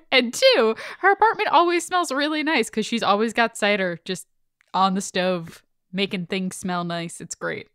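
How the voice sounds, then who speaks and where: A woman's voice: medium pitch, normal speed, fairly bright tone, clean tone, dry recording, studio quality. A woman co-hosting a podcast, chatting casually with her guest and listeners. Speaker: podcast co-host. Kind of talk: casual chat.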